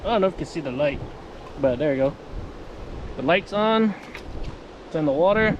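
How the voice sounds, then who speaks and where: A man's voice: a little high, lively intonation, normal speed, highs cut off. Easygoing man on a noisy, windy waterside recording. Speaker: vlogger demonstrating marine VHF radios. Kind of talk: casual, improvised product demonstration. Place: outdoors by the water. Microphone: action camera.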